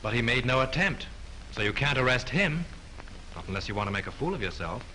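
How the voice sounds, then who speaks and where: A dry-witted mystery novelist in an early-1930s film. His voice is bright and crisp, and he teases the police with easy confidence over faint hiss. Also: medium pitch, big pitch swings, brisk, low-quality recording. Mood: assured. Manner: dry, mocking wit. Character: wisecracking, cool male mystery novelist.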